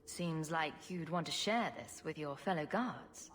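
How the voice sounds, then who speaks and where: An actress playing a sarcastic young rogue adventurer in a fantasy game, with a dry, teasing manner. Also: female voice, sits low, expressive, a little slow, a little hiss or hum. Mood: wry. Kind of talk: fantasy video game acting. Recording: loud music behind the voice.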